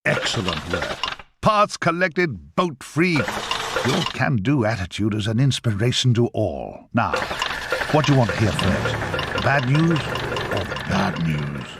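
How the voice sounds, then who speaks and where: Animated, grumbling ferryman of the dead. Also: on the low side, takes it slowly, very noisy, full character voice. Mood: sardonic. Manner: wry, rambling, conversational. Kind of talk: video game cutscene acting.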